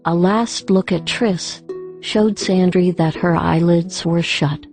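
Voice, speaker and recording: A woman reading scripted story narration for an audiobook in a measured way. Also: lowish voice, fairly even intonation, a little mellow, faint music behind.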